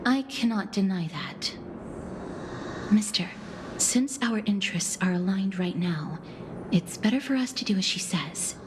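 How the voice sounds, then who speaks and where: A woman's voice: medium pitch, lively intonation, measured pace, noisy background, natural voice. An actress playing a composed, guarded young woman in a video game, speaking formally and seriously with a protective air, over music. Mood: calm, protective. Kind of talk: game character voice acting. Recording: game stream with music underneath.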